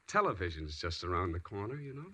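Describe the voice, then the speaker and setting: An actor with an American accent playing a sardonic, hard-boiled private detective in a 1940s radio drama. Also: male voice, sits low, sing-song, fast talker, sharp tone, heavily acted. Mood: sheepish. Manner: sardonic, wry. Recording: old 1940s radio recording, faint noise.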